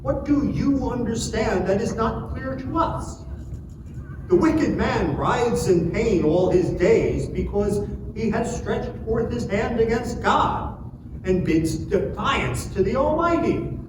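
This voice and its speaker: A man's voice: very high voice, expressive, takes it slowly, exaggerated voice. A man playing an indignant, pious friend, accusing in a declamatory dramatic scripture reading. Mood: severe warning. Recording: distant, echoey, noisy live room.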